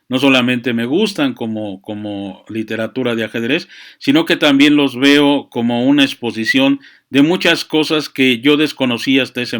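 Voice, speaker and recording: A man giving a reflective, unscripted monologue, often halting to search for words and adding verbal tags. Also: mid-range voice, a bit bright. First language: Spanish. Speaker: speaker on chess and literature. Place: small room with books.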